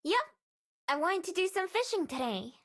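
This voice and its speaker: A woman's voice: extremely high pitch, very animated, normal speed, fairly bright tone, dry recording, studio quality, voice pushed up, full character voice. A woman voicing an eager but unsure young female novice in a visual novel, speaking earnestly and a little hesitantly. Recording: music behind the voice.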